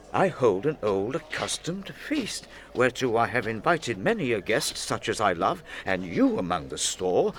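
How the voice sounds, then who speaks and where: An actor playing an old nobleman and doting father in a Shakespearean audio drama, speaking measured, declamatory verse. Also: on the low side, slight background noise, heavily acted.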